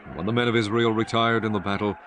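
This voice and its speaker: A man narrating scripture for an audiobook in a formal, measured storytelling manner. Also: lowish voice, fairly even intonation, noisy background.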